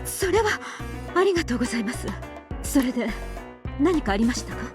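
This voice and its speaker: A woman's voice: sits high, very animated, racing, over music. A woman playing a guarded, proper upper-class middle-aged lady in a Japanese visual novel, formal and defensive. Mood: flustered.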